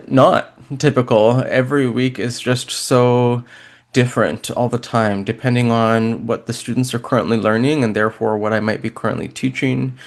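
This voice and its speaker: A middle-aged man from western Canada with a Canadian accent, chatting informally and upbeat as an interview guest. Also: medium pitch. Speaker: guest, pharmacy professor. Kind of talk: casual interview chat.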